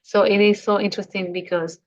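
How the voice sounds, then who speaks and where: A woman's voice: medium pitch, flat delivery, fairly quick. A woman hosting an interview in English, not her first language, enthusiastic and often repeating words as she thinks. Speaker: host. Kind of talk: casual interview chat. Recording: dull muffled audio.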